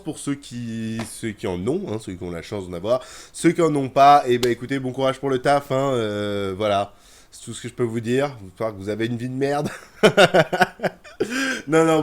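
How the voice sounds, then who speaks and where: A young man with a head cold gives casual commentary on his own gameplay, chatty and quick to grumble and swear when frustrated. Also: a little high. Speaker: gaming youtuber.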